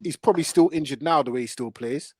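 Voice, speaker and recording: A man's voice: fairly high pitch, quick pace, background noise. A man hosting casual football debate, emphatic and slangy, repeating himself for stress. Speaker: football podcast host.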